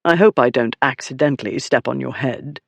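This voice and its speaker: A man's voice: vocal fry, dry recording. A man playing a cool, disdainful duke. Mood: cool menace. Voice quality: cool, low, carrying. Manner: dry, unhurried, disdainful. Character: calm, haughty, cool-headed aristocratic duke.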